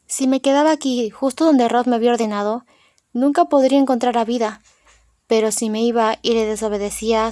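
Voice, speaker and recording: A woman's voice: a little high, warm tone, no room sound, studio quality. A woman reading a novel aloud in the first person as an audiobook narrator.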